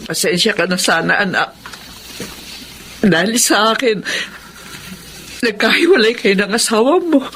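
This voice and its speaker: A woman's voice: medium pitch, unhurried, long pauses, fairly bright tone, a little hiss or hum. An actress playing a remorseful, loving middle-aged mother in a Tagalog radio melodrama. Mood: remorseful, sorrowful.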